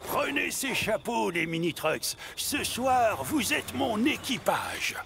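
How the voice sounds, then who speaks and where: A man's voice: high-pitched, very animated, slow pace, vocal fry, noisy background, full character voice. A man voicing a bossy, boastful pirate truck captain in a French children's cartoon, theatrical and greedy. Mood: bossy, scheming.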